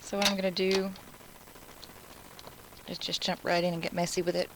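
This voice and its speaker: A woman's voice: on the low side, level tone, normal speed, background noise. A woman from Alabama with an Alabama Southern accent, hosting a live crafting class from home and chatting in rambling asides while she works. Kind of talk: live-streamed crafting tutorial.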